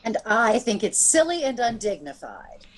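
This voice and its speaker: A bossy, disapproving sister of old-time radio drama, acted by a woman. Her high voice swoops with exasperation, and she talks in a brisk, practical, scolding way. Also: sing-song, low-quality recording.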